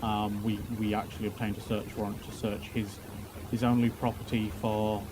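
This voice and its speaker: A man playing a police officer giving testimony in a roleplay courtroom, talking haltingly with many fillers and repeated words. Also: lowish voice, little pitch movement, noisy background.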